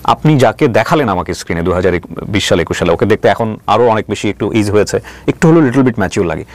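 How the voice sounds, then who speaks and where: A Bangladeshi man hosts an interview in a quick, lively, swooping voice, recorded dry and close. He is insistent and challenging, and drops English words into his questions. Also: on the low side, dry recording. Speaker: talk show host and interviewer.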